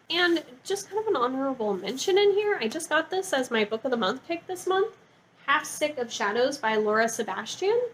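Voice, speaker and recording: A woman's voice: mid-range voice, a little hiss or hum, poor audio. A woman book vlogger talking casually and informally to her viewers, gushing and saying "like" often. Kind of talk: casual chatty book review to camera.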